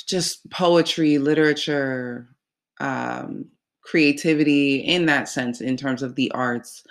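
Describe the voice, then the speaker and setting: Host, nasal and congested, unhurried, loose chatty delivery with frequent ums, mid pitch. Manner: relaxed, rambling with many fillers. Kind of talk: casual astrology talk.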